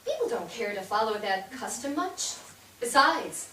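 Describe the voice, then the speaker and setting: A woman's voice: fairly high pitch, big pitch swings, unhurried, bright, forceful tone, full character voice. A woman playing a practical, firm-willed immigrant wife and mother in a staged play reading. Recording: echoey stage, distant mic, background hiss.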